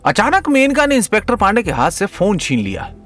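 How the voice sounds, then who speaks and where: A man's voice: sits high, wildly swooping pitch, a little fast, a bit bright, soft background music. A man narrating a comedy story as a storyteller, in a dramatic scripted style.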